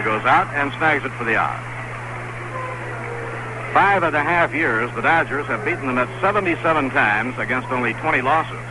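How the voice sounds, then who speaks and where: A man calling a baseball game on the radio in a steady, fact-filled play-by-play style from a stadium broadcast booth. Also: mid-range voice. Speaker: play-by-play announcer. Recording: noisy 1960s radio broadcast.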